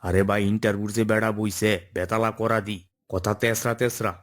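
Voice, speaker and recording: A man's voice: on the low side, steady tone, brisk, natural voice. A man voicing a grumbling village job-seeker in a comic cartoon, muttering in an eastern Bengali dialect. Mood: dejected grumbling.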